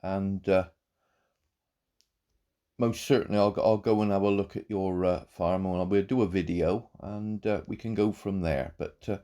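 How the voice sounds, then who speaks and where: A man, croaky from a cold, giving halting, warmly praising commentary on a farm game. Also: low voice, fairly even intonation. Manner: halting, repeats words, warm praise. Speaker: farm game channel host. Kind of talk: casual gameplay commentary.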